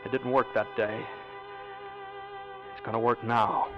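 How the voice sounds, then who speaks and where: Brooding American veteran, played by a man, over loud music. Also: medium pitch, flat delivery, natural voice. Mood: brooding, resolved. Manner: halting, repetitive, confessional inner monologue. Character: paralyzed war veteran, intense, brooding, secretly plotting revenge. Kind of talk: old-time radio drama first-person narration. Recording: dull old radio recording, loud music behind.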